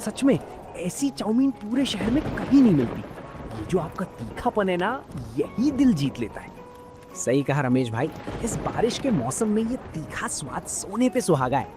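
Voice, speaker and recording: Man playing a cheerful, animated village customer. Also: sits high, a little slow. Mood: delighted. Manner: enthusiastic, admiring. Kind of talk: cartoon story dialogue. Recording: music under the voice.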